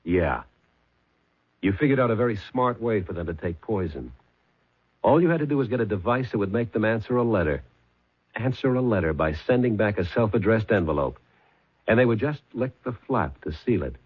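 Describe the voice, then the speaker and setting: A man playing a calm, dogged police detective in a 1970s radio drama, talking mildly and hesitantly with many pauses. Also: fairly low pitch, slightly rough. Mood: firm. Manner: mild, hesitant, with many uh's. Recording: phone-like old radio broadcast.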